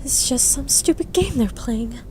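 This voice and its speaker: A woman's voice: high-pitched, animated, normal speed, background noise. A woman playing an anxious young female unicorn pony who talks to herself in an amateur audio story. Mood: nervous self-soothing. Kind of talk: amateur audio drama of fan fiction. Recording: echoey, reverberant, music underneath.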